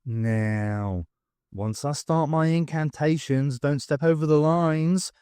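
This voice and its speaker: A moaning, self-pitying magician vizier in a storybook, as a male narrator puts him on. His voice sits up high and sardonic, slow and dry in a close, dead recording. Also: dark tone, clean tone, no echo. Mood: whiny. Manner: whiny, sardonic.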